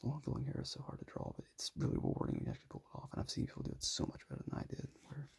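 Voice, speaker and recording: A young man talks softly over his sketches in a deeply gravelly, husky voice. His tone is mellow and dark, and he rambles in a modest, self-deprecating way. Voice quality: raspy. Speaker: vlogger showing his sketchbook. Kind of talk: casual talking over a sketchbook tour.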